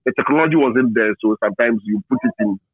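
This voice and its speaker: Husky Ghanaian man on a phone-like line. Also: medium pitch, fast talker, dry recording. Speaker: interview guest, media and marketing professional. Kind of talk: reflective conversational interview answers. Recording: tinny narrow phone-like line.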